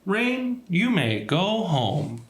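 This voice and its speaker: A man's voice: sits high, very animated, slight background noise, voice pushed up, full character voice. Man reading as a patient storybook elephant, roomy echo. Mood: questioning, polite. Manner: deliberate, reasoning step by step. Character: patient wise elephant, firm and fatherly. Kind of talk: children's storybook read aloud.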